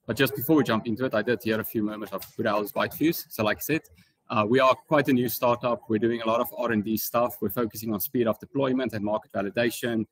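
A man's voice: medium pitch, steady tone, fast talker. A man with a South African accent presenting an informal technical tutorial as a conference speaker, explaining things in a casual way. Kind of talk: informal technical talk with slides.

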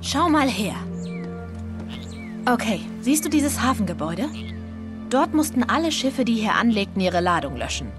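A woman's voice: fairly high pitch, big pitch swings, moderate pace, pauses often. A young woman acting as a plucky, curious adventurer in a German game dub, with a spirited, challenging manner. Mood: curious, eager. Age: young adult. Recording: loud film music behind.

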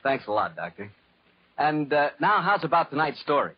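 Husky American old-time radio announcer man. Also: a little high, very animated, moderate pace, no echo. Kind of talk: 1940s radio announcing, folksy scripted patter. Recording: muffled vintage 1940s radio broadcast.

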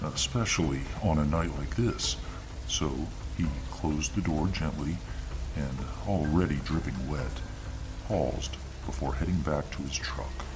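A man narrating a scripted spooky audio story in a slow, deliberate way, with music behind him. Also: a bass voice, poor audio. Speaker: ASMR storyteller. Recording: narration over music and rain sounds.

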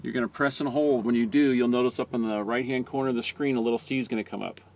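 A man's voice: medium pitch, fairly even intonation, fast talker. An American man narrating a hands-on training video as an instructor, explaining plainly step by step. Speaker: fire department communications trainer. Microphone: handheld camera or phone close by. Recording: noticeable background noise, amateur camera audio.

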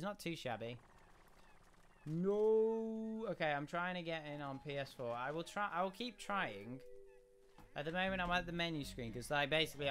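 A young man hosting a casual game livestream. He is chatty and rambling, with a slightly croaky voice. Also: a little high, a bit bright. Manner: chatty, rambling, often restarts and hums. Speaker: gaming livestream host. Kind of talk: casual live commentary. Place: home room. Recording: audible background noise.

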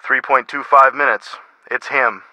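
Game role: sensible boy sleuth, very bright voice, dry wit, tight dry sound. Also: medium pitch, steady tone, unhurried, dry recording, low-quality recording. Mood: wry. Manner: dry, matter-of-fact. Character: sensible, dry-witted teenage older-brother detective. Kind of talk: scripted phone conversation in a mystery game.

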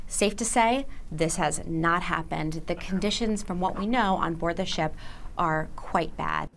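A woman gives measured travel-expert commentary in a studio interview. Her voice is lowish with a gravelly, creaky edge, with faint noise underneath. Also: a bit bright. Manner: measured, explanatory.

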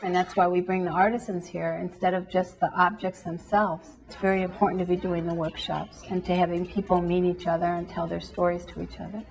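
A woman's voice: lowish voice, flat delivery, brisk, gravelly, hardly any pauses, soft background music. A middle-aged woman artist giving an interview in a classroom, speaking earnestly and reflectively. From United States. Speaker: artist and foundation founder.

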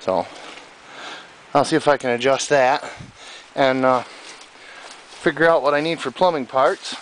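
A man's voice: mid-range voice, measured pace, rough recording. A man giving casual, off-the-cuff commentary on his own mechanical project in a folksy, rambling way, talking outdoors into a handheld camera. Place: outdoors in an overgrown yard. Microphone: handheld camera mic. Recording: hissy handheld camera recording.

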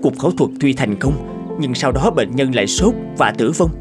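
A man voicing troubled, thoughtful doctors and professors in a cartoon drama, formal and measured. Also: medium pitch, expressive, music in the background, lightly acted. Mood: thoughtful, earnest. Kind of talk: animated cartoon drama dubbing.

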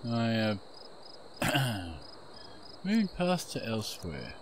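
A man's voice: on the low side, huge pitch swings, extremely slow, a little hiss or hum. A man giving casual, offhand commentary as he plays through a video game. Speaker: gamer narrating a playthrough. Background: game music and sounds.

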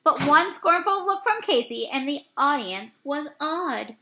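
A woman in her thirties reading a poem aloud from a picture book, a little haltingly, stumbling and correcting herself. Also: high voice, expressive, sharp tone, rough recording. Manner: halting, with misreadings and self-corrections. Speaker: read-aloud reader. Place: small indoor room.

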